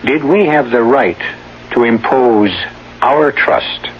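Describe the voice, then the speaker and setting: A man hosting a radio drama, giving a scripted introduction in solemn, rhetorical, deliberately phrased sentences. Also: medium pitch. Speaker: host. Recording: muffled, noisy old radio broadcast.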